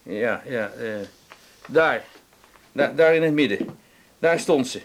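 A man's voice: mid-range voice, lively intonation, slow, a little hiss or hum. An actor in a radio drama playing a flustered, indignant cinema owner who stammers and repeats his words. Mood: agitated.

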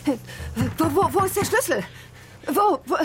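A woman's voice: extremely high pitch, lively intonation, moderate pace, slight background noise. An actress playing a headstrong, defensive female doctor in a radio crime drama. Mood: panicked. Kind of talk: German radio drama acting.

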